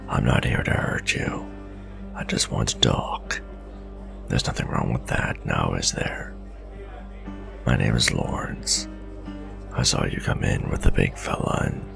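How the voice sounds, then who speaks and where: Guy doing a wary older-and-wiser tavern stranger, super low n slow, folksy fantasy roleplay. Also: creaky, heavily acted. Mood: calm, probing. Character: wary, seasoned tavern stranger with keen nose, male. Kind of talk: gothic fantasy ASMR roleplay acting.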